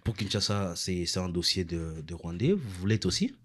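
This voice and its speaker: A man's voice: deep voice, lively intonation, normal speed, slightly rough. A male talk show host, interviewing guests formally and often repeating himself while searching for words.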